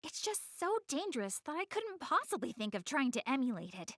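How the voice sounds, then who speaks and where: An actress voicing a proud, easily flustered young anime goddess in a video game, haughty yet earnest in manner. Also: female voice, high voice, very animated, brisk, fairly bright tone, no echo, studio quality, full character voice. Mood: earnest explaining. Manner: haughty, stammers when flustered, explains things earnestly. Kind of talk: English anime game voice acting.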